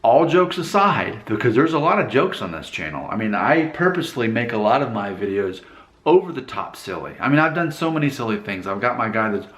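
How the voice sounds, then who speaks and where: A man's voice: mid-range voice, very animated, brisk, a bit bright, reverberant. A man hosting his own channel, speaking to camera in a candid, emphatic, preachy monologue. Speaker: YouTube channel host. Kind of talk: casual talking-to-camera monologue.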